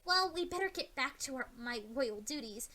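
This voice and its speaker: A woman's voice: high voice, lively intonation, normal speed, bright, forceful tone. A woman voicing a dutiful young female pony princess in an earnest, emotional way.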